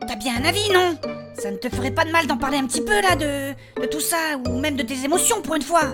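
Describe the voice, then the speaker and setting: Woman acting a sensitive fantasy heroine, high voice, very lively intonation, earnest and easily exasperated, music behind. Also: quick pace. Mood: offended, insistent. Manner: emotive, earnest, hesitant when confiding. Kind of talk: French comic fantasy audio drama.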